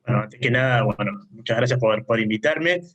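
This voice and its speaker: A man's voice: medium pitch, fairly quick, fairly bright tone. A man from Argentina with an Argentine accent, speaking as a panelist in an online panel discussion. He explains his points at length and often checks in with a questioning "¿sí?". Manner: frequent questioning "¿sí?" tags, explanatory. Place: remote online conference.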